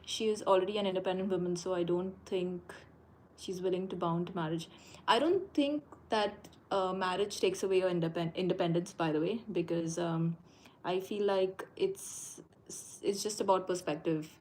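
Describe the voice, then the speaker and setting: Brief: young woman, fairly low voice, casual livestream chat, hesitant with frequent fillers, faint room hum. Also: normal speed, a little mellow, poor audio. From India. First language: Konkani. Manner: hesitant, many fillers and restarts. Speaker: livestream host. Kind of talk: casual live Q&A. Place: indoors, abroad while traveling.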